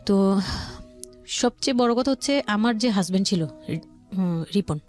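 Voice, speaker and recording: Bangladeshi woman, halting storyteller over music. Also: mid-range voice, lively intonation, darkish tone. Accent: Bangladeshi, Dhaka. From Old Dhaka, Bangladesh. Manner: halting, explanatory, often rephrases herself. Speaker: guest telling her life story. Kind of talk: personal storytelling in conversation. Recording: music playing behind the voice.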